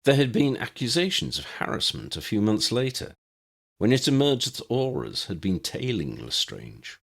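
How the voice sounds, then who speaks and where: A man's voice: mid-range voice, sing-song, moderate pace, creaky, a bit bright, husky. A man reading scripted fiction as an audiobook narrator.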